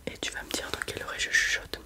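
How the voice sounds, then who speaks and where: An ASMR creator whispering softly and without voice while running a roleplay exam, giving gentle praise after every answer. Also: very fast. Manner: soft, unvoiced whispering throughout. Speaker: ASMR creator playing an examiner. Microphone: close mic with left and right ear whispering.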